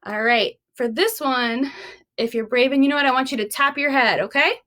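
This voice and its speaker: A woman reading and talking to young children as a library storytime host, expressive and deliberate. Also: high voice, noisy background.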